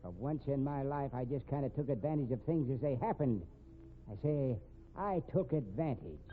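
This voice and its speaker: An actor playing a sly, grudging older working-class blackmailer in 1950s radio drama, folksy and ungrammatical, repeating himself. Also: male voice, medium pitch, expressive, normal speed, close to an everyday voice. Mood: stubborn, gloating. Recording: tinny, noisy vintage radio recording.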